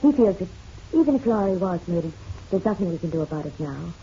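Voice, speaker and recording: A woman's voice: on the low side, animated, fast, warm tone. A woman playing an anxious, dignified well-off mother in an old-time radio drama, speaking formally and earnestly. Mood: defensive. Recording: muffled, noisy old radio recording.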